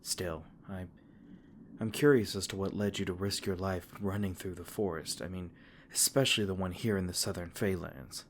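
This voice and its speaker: A man voicing a gentle, protective male fae king in an ASMR comfort roleplay, soft-spoken and tender, stumbling over his words now and then. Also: sits low, steady tone, normal speed, a little hiss or hum, lightly acted. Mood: tender, soothing.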